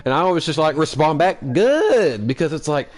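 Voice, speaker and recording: A man's voice: on the high side, big pitch swings, normal speed. A man of about thirty from Oklahoma with a slight twang, chatting casually and joking while streaming a football game. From Oklahoma, USA. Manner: laid-back, joking, chatty. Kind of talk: casual gaming chat.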